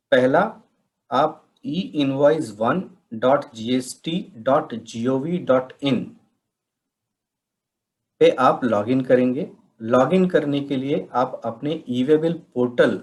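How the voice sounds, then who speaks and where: A man narrates an instructional slide presentation. He speaks at a slow, measured pace with a mid-range pitch, taking the listener through each point methodically, as a software trainer would. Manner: methodical, step-by-step explaining.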